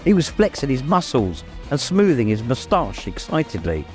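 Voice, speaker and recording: A man's voice: medium pitch, wildly swooping pitch, moderate pace, soft background music. A man narrating a story in a dramatic, scripted audiobook style.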